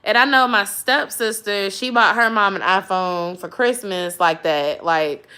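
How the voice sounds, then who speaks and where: A woman's voice: medium pitch, a little slow, pushed and bright, clear, resonant tone. A woman from Georgia with a Southern accent, chatting casually with viewers on a livestream and greeting them with y'all. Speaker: YouTube host.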